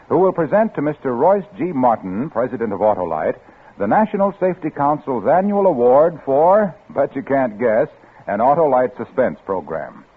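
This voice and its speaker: A male radio announcer with a mid-pitched, very animated, hearty delivery. He reads formally in a 1950s style, with a husky edge on a narrow, muffled old recording. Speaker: sponsor's announcer.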